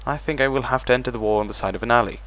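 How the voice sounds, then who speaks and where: A man's voice: medium pitch, racing, fairly bright tone. Man thinking aloud over a strategy game. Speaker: gaming channel host. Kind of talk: let's play commentary.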